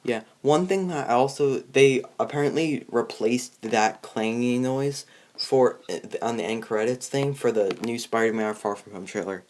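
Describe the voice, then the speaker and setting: A man with a medium-pitched voice chats casually as a movie review host. He talks in a loose, rambling way and often stumbles over his words as he goes. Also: moderate pace, darkish tone.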